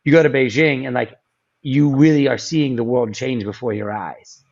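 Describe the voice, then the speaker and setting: A man's voice: medium pitch, steady tone, moderate pace, a little mellow, highs cut off. A man as a podcast guest, chatting casually with many ums and restarts. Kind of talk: casual interview chat.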